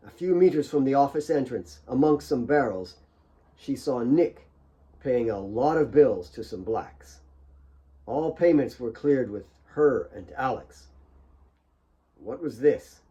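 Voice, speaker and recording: A man's voice: medium pitch, lively intonation, unhurried, dark tone. A man reading his own fiction as a dramatic audiobook narrator.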